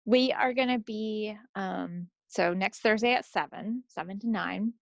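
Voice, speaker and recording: A woman's voice: mid-range voice, measured pace, gravelly, no echo, lo-fi sound. A woman co-hosting a hobby podcast, talking in a casual, chatty style. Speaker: co-host of a knitting video podcast. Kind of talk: casual chat.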